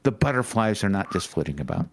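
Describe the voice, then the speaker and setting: A man's voice: deep voice, sing-song, fast, breathy edge. A man chatting casually on a panel with dry, nitpicking banter. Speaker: panel member. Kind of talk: casual chat.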